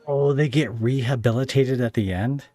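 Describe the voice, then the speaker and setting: A man's voice: mid-range voice, normal speed, dark tone, no echo. A man hosting a podcast in casual interview chat, speaking in a hesitant way as he thinks aloud. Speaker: podcast host.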